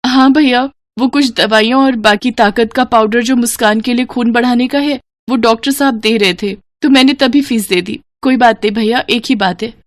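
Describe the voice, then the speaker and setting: High-pitched, earnest caring sister acted by a woman. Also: moderate pace, few pauses, no room sound, close to an everyday voice. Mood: reassuring. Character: caring, tender-hearted sisters in a family tale.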